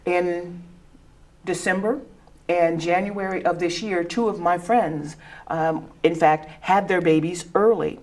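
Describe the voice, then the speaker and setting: A woman's voice: lowish voice, fairly even intonation, slow, darkish tone. A middle-aged woman educator speaking on a discussion panel in an earnest, conversational way, often restarting words and laughing.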